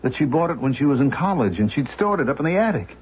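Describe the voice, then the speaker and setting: An actor playing an anxious husband who stammers and repeats himself, in a radio drama. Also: male voice, mid-range voice, very animated, fast, breathy edge. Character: anxious middle-aged man, husband, frightened and pleading. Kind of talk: 1970s radio drama acting. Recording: muffled lo-fi old radio recording.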